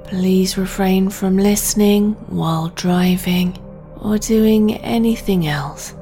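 Very slow, soft-spoken woman guiding meditation. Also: mid-range voice. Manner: soft, breathy, soothing, deliberate. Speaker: sleep meditation host. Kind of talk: guided sleep meditation narration. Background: music.

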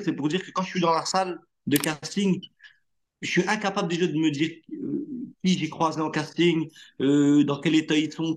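A male actor whose first language is Arabic, telling his story in a casual, slangy way and acting out the lines of the people in it. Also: fairly high pitch. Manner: animated, slangy, acts out quoted dialogue. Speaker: actor, interview guest.

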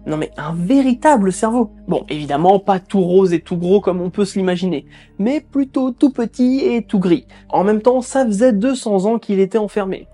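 A woman hosting a video, telling a creepy story with lively, sarcastic asides. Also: moderate pace, soft background music. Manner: lively, sarcastic asides with squeaky put-on voices. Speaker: host. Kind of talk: storytelling narration with jokes.

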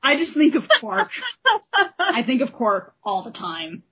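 A woman's voice: high-pitched, big pitch swings, takes it slowly, a bit bright, slightly rough, highs cut off. A woman co-hosting a podcast, chatting casually and enthusiastically about comics. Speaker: podcast host. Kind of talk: casual comic book discussion.

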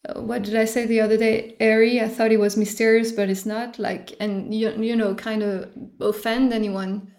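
A woman's voice: on the high side, flat delivery, moderate pace, darkish tone. A woman from France with a French accent, a French native speaker, chatting casually as a podcast guest and searching for her words. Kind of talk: casual chat.

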